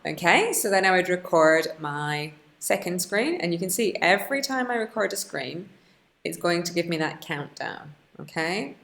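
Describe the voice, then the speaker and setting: A woman with a low voice and a noticeable creak talks through an on-screen tutorial. She sounds like a teacher showing colleagues the steps, chatty and self-deprecating, thinking aloud as she goes. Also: sing-song, moderate pace, fairly bright tone. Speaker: teacher giving a software tutorial. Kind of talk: informal instructional screen-recording walkthrough.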